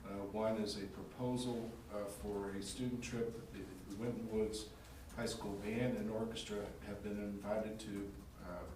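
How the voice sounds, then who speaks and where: A man's voice: fairly low pitch, flat delivery. Nasal man with a cold, echoey chamber. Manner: halting, many fillers and restarts. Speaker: council member. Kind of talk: committee report at a council meeting. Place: council chambers. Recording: echoey chamber, distant mic, background hum.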